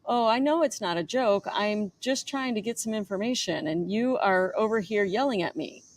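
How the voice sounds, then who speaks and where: A woman's voice: medium pitch, animated, moderate pace, slight background noise, lightly acted. A woman reader voicing a whiny male sailor from a memoir, earnest and insistent. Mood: whiny, defensive. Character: whiny male New York sailor asking questions in earnest.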